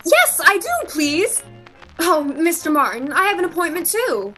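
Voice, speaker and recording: A haughty young shop woman in an old-time radio romantic comedy acted by a woman. Her voice sits high and swings widely in pitch as she delivers cutting, scornful remarks with theatrical polish. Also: big pitch swings, measured pace, fairly bright tone, faint music behind, heavily acted. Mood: curt, guarded. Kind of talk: vintage radio play acting.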